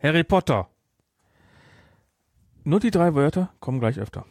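A man with a German accent chatting casually about a film as a podcast host, with an animated, teasing manner. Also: a little high, moderate pace, no room sound, studio quality. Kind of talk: casual chat, film discussion.

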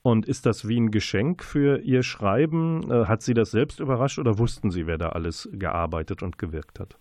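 A man hosting an interview, asking wordy, measured questions in formal address. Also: fairly low pitch, expressive, darkish tone, dry recording, clean studio sound. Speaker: radio interview host. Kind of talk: conversational interviewing.

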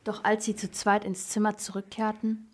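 A woman's voice: medium pitch, completely flat delivery, normal speed, noisy background. A young girl reading scripted narration for an amateur radio crime play. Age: child or teenager.

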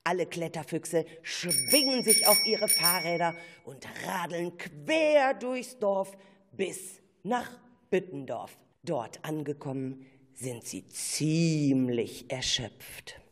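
Slow, lively dramatic radio play narrator. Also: medium pitch. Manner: dramatic storytelling, addresses listeners. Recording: faint background noise.

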